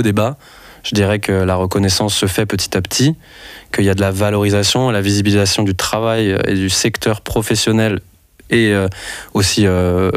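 Man, from France, young adult, deep, monotone, hesitant, dry close recording. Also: no echo. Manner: hesitant, many fillers and restarts. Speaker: festival organizer and network coordinator. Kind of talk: radio interview guest.

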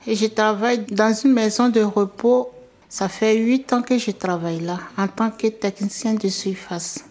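A woman's voice: mid-range voice, steady tone, a little slow, darkish tone. A woman in her forties who works as a cleaner in a care home, introducing herself in a plain, matter-of-fact way. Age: 40s.

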